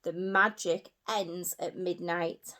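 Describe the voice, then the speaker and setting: A woman voicing a bossy, kindly fairy godmother from a children's storybook, giving clear instructions. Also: lowish voice, fairly even intonation, takes it slowly, sharp tone. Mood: warning.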